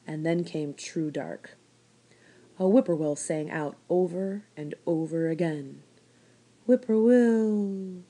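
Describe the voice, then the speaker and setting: Female storybook narrator, slightly low pitch, expressive read-aloud delivery. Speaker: storybook reader, school staff member.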